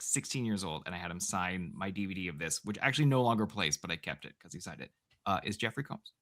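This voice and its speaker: A man's voice: lowish voice, bright, forceful tone. A man chatting casually as co-host of a podcast. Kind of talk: casual chat.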